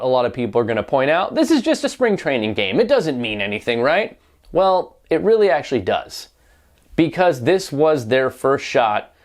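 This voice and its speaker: A man delivering opinionated sports news commentary as a reporter, wry and pointed, often posing rhetorical questions. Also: mid-range voice.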